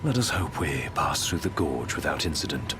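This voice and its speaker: A composed, cautious adviser in a video game. His low voice is formal and precise, with clear noise behind it. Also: sing-song, moderate pace.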